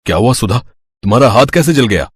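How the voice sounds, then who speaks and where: A man voicing an irritable, curt married son and husband in a family story, with a slightly husky voice. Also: mid-range voice, animated, fast, no room sound, clean studio sound. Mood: concerned. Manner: curt, scolding.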